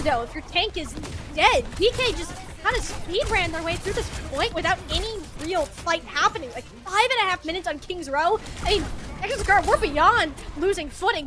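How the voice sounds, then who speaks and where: A woman doing live esports play-by-play commentary in a casual, wry, slangy way. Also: extremely high pitch.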